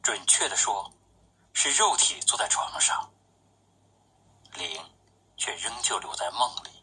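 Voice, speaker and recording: A man reading his own poetry as a literary recitation, with measured, deliberate phrasing. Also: lowish voice, sing-song, sharp tone, slightly rough, rough recording.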